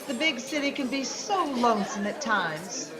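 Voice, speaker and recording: Woman playing radio-play femme, flirtatious, wistful, fairly high voice, faint crowd behind. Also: very animated, moderate pace, over music. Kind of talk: 1940s radio drama acting.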